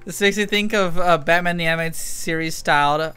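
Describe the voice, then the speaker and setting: A man's voice: on the high side, brisk, bright, forceful tone, clear, resonant tone, soft background music. A man chatting casually as he streams a game, informal and rambling, with loose swearing.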